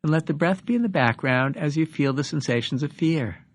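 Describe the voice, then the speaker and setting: A man leading a guided meditation as a teacher, giving instructions with deliberate pauses between phrases. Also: a little high, no echo.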